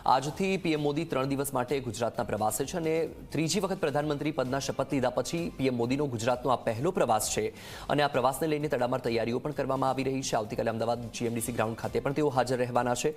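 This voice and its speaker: A man's voice: medium pitch, fast. A man presenting a live news bulletin as anchor, restating points as he goes. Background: music bed under the voice.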